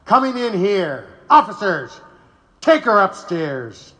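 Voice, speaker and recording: Guy doing a sneering bully mayor, old-timey radio play, highish bright voice. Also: very animated, takes it slowly, heavily acted. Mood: scornful. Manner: blustering, scornful. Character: bullying 1880s male big-city mayor, contemptuous. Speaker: city mayor. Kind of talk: audio drama acting.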